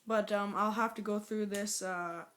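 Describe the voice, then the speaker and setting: A woman talks through an unboxing in a casual, rambling way. Her voice is hoarse and scratchy from a sore throat, and her pitch stays level and flat throughout. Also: measured pace. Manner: rambling, chatty, self-aware. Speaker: product reviewer.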